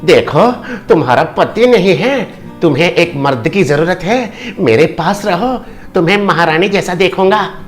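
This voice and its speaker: An actor playing a greedy, lecherous rich village president, an insinuating and domineering villain in an animated moral story. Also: male voice, high-pitched, a little slow, soft background music.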